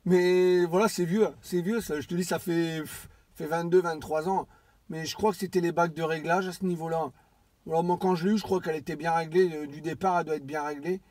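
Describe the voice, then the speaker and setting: A man's voice: fairly high pitch, steady tone, fairly quick, a little mellow, dry recording. A man chatting casually over CB radio, rambling and hesitant, often repeating himself. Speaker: amateur CB radio operator.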